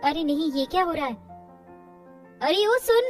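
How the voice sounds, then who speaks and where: A woman voicing a naive, bold and impulsive young daughter-in-law in a moral story, blurting things out excitably. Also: very high voice, measured pace, soft background music, pitched above the performer's own voice. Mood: bold, urgent.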